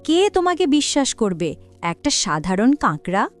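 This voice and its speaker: A proud and slippery shark from a cartoon moral tale, voiced by a woman storyteller. The voice sits fairly high, with music playing underneath. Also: very animated, unhurried. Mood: mocking. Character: powerful arrogant deceitful shark, pleading when in need.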